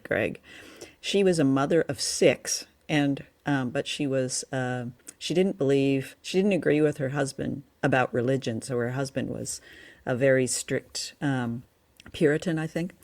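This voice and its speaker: A woman's voice: a bass voice, a little mellow, no room sound. A woman co-hosting a radio show, chatting about old drama and often searching for her words. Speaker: radio show and podcast co-host.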